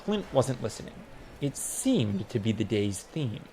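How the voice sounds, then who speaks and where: A man's voice: medium pitch, sing-song, a little slow, warm tone, slight background noise. A man narrating a comic fantasy audiobook in a wry, scripted storytelling style.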